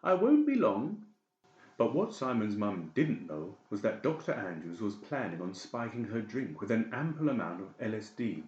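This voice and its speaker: A man's voice: fairly low pitch, darkish tone. A man reading a dramatic scripted audiobook narration. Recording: dull, echoey room recording.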